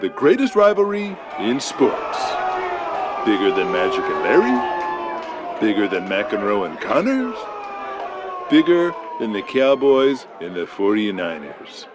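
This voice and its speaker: An arrogant, flamboyant wrestling star cutting a TV promo. He stretches his words slowly, boasting and sneering at everyone. A loud, noisy crowd sits right behind him. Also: lowish voice, very animated. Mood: boastful. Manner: boastful, sneering, drawn-out showman delivery. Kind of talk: 1980s TV wrestling promo acting.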